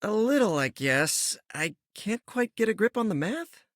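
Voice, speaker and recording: A young web-slinging hero in a video game, quipping playfully in a fairly high voice. His pitch leaps around lively as he jokes, dry and close. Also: unhurried, no room sound, full character voice.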